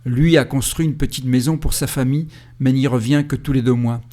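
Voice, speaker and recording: A man calmly telling the story of his cycling trip in the first person, with a reflective, storytelling way of speaking. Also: mid-range voice, brisk. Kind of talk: first-person travel narration. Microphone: voice-over recorded separately.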